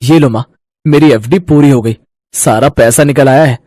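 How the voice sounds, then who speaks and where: Dutiful adult son, acted by a woman, low-pitched, earnest, respectful, dry sound, cartoon story. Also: moderate pace, mellow, dry recording. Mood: earnest, proud. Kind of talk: Hindi moral cartoon story acting.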